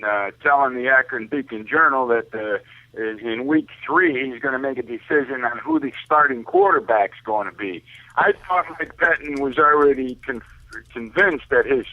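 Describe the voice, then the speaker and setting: A narrow, tinny phone line carries a man's voice with very little room sound. His pitch is average for a man. He talks sports in an opinionated, emphatic way, addressing the listener directly. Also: no echo. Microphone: telephone.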